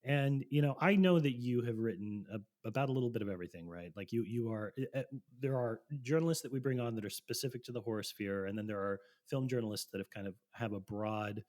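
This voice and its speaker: A man co-hosting a podcast in casual chat, playful and swearing freely, his voice lowered by a lingering cold. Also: quick pace, few pauses, darkish tone. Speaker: podcast co-host.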